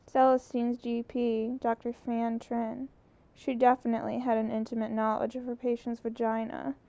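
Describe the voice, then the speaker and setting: An earnest, restless young woman photographer, as a woman reads her aloud in a storybook. Her voice is gravelly and darkly mellow. The muffled, lo-fi recording dulls it further. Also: a little high, little pitch movement, normal speed. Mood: musing.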